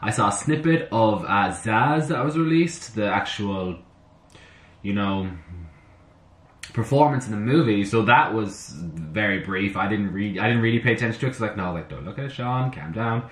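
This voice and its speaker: A slightly hoarse young man chatting excitably to camera in his bedroom, talking to himself as he reacts to music. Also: fairly low pitch, normal speed. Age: young adult. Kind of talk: casual reaction chat. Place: bedroom at home, indoors. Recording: roomy bedroom with faint music. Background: soundtrack music playing faintly.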